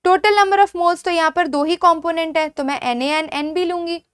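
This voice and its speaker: A woman's voice: extremely high pitch, a bit bright, no room sound, studio quality. A young woman with an Indian accent teaching an online chemistry class step by step, mixing Hindi and English and often checking that students follow. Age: young adult. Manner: addresses students affectionately, checks understanding often; switches between Hindi and English, dictating slowly. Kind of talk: online lecture, explaining step by step. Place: indoor studio, seated before a digital board.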